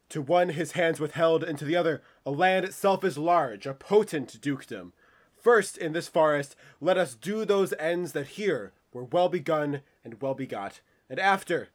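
Male actor as banished duke, slightly high voice, stately, welcoming, Shakespearean radio drama. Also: close to an everyday voice. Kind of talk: Shakespearean radio play acting.

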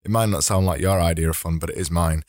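A man hosting a casual solo podcast, his voice slightly hoarse and fading, rambling and correcting himself as he talks. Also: low-pitched, quick pace, a little mellow, no echo, clean studio sound. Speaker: podcast host.

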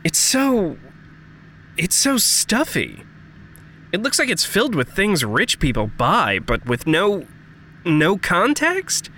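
An actor playing an earnest grown son of a wealthy family in an audio drama. He speaks slowly and haltingly, with lively swings of pitch, dwelling on his words over audible background noise. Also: exaggerated voice. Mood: scornful. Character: Liam, earnest adult son of a rich family. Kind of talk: fiction podcast acting.

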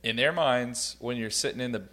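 A man's voice: medium pitch, a little fast, sharp tone. A man on a podcast panel, thinking aloud in casual, reflective explanations peppered with fillers. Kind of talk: casual discussion.

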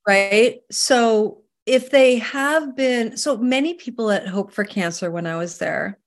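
A middle-aged woman from Canada, a holistic healer answering interview questions in an earnest, emphatic way that sometimes slows down to make a point. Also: a little high.

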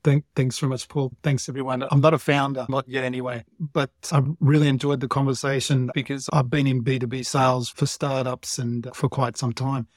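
A man as a guest speaker on a casual panel, talking haltingly and repeating words. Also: mid-range voice, level tone, dry recording, clean studio sound.

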